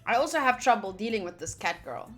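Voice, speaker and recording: A woman hosting a visual novel playthrough, reading the narration aloud and adding chatty, expressive asides. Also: medium pitch, fast talker. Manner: chatty, expressive, reacting fondly to the game. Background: background music.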